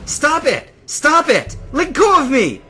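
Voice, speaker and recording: A man voicing a frantic, stubborn young boy turned psychic creature in a story, yelling in defiant desperation. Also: very high-pitched, huge pitch swings, slow pace, faint music behind, pitched above the performer's own voice, exaggerated voice. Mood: furious.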